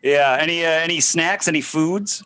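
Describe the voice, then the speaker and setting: A man's voice: fairly high pitch, moderate pace, pushed and bright, smooth. A man co-hosting a comedy podcast, playful and teasing in casual chat. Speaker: podcast co-host.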